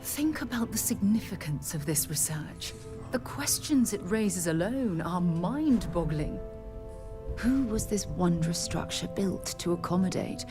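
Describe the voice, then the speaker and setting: A woman's voice: lowish voice, lively intonation, unhurried. An actress playing an earnest, articulate woman scientist in a space adventure video game. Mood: awed, exhilarated. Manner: articulate, thoughtful. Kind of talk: video game dialogue acting. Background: game music.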